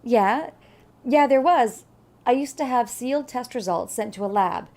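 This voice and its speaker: A woman's voice: a little high, expressive, unhurried, darkish tone. A woman playing a casual, helpful office receptionist in a naturalistic audio drama, talking in an offhand, matter-of-fact way.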